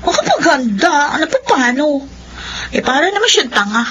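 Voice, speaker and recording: A woman's voice: sits high, sing-song, normal speed, a bit bright. A woman playing a blunt, indignant young best friend in a radio drama, scolding and exasperated. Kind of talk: Filipino radio drama acting.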